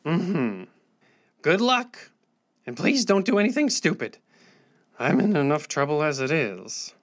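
An excitable, fussy rabbit guide from a children's fantasy audio drama, played by a man. His pitch swoops wildly as he speaks. He is prim and brisk, with a worried, curt temper. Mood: wary, scolding.

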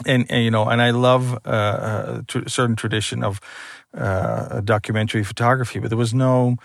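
A man's voice: medium pitch, fairly even intonation, normal speed, creaky, no echo, clean studio sound. A man from the Netherlands, a photographer, answering interview questions in a hesitant way with many fillers and restarts.

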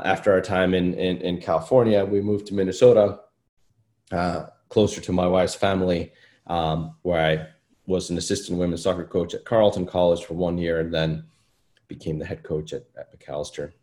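Deep-voiced Icelandic man, friendly. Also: dark tone. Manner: friendly, thoughtful, many fillers and restarts. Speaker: golf coach. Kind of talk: casual interview conversation.

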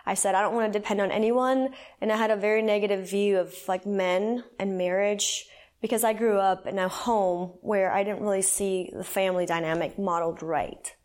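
A woman's voice: mid-range voice, steady tone, normal speed, a little mellow. Earnest woman sharing her faith story. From El Salvador. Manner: earnest and conversational, with many fillers. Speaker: guest sharing her testimony. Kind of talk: personal faith testimony.

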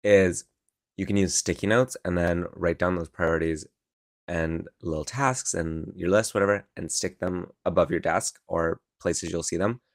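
Young male podcast host, croaky morning voice, deep and dry, chatty and encouraging. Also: normal speed, no echo. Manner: rambling, filler-heavy, encouraging. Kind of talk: casual solo podcast chat. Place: his own room at home.

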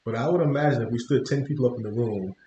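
A man's voice: medium pitch, rapid-fire, warm tone, roomy sound, muffled recording, a little hiss or hum. A man hosting a podcast interview in a friendly, casual way, leaning on "you know" as he talks. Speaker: podcast host. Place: podcast studio.

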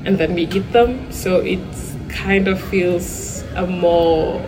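A woman from Kenya chatting as a guest in a pub, relaxed and a bit hesitant. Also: fairly low pitch, takes it slowly. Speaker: podcast guest. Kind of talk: casual interview chat. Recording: noisy pub background.

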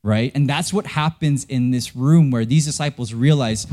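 A man's voice: medium pitch, moderate pace, darkish tone. A young man from Canada preaching a sermon as a pastor, telling a story in a casual, self-deprecating way with lots of fillers and restarts.

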